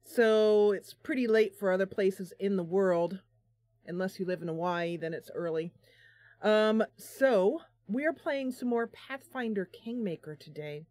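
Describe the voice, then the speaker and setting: A woman's voice: mid-range voice, a little slow, darkish tone, dry recording. A woman hosting a live stream, chatting casually with viewers in a bubbly, talkative way. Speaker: live stream host, gamer.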